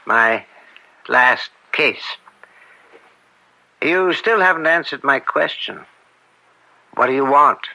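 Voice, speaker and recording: An actor playing an upstanding older judge, proud and wounded, in old-time radio drama style. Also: male voice, mid-range voice, animated, slow pace, gravelly, pauses often, sharp tone, no echo, full character voice, low-quality recording. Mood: guarded, weary. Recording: old radio broadcast with music.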